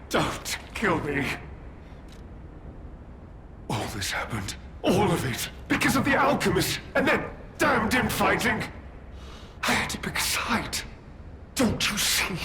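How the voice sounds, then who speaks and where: Give the voice of a craven, self-justifying schemer from a dramatic video game. He is high and sharp-toned, with halting, wheedling delivery that stretches out slowly. Faint ambience sits behind him. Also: a little hiss or hum.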